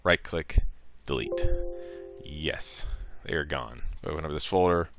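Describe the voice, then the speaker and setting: A man giving a casual, improvised software tutorial, talking through each click as he goes. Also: extremely low pitch, a little slow, over music. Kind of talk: casual step-by-step screen tutorial.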